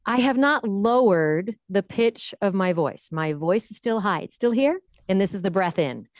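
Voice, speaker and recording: A woman talks through how the voice works like a coach. She explains patiently and jumps her pitch high or low to demonstrate. The sound is dry, with no room echo. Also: lively intonation, normal speed. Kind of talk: explanatory interview talk with vocal demonstrations.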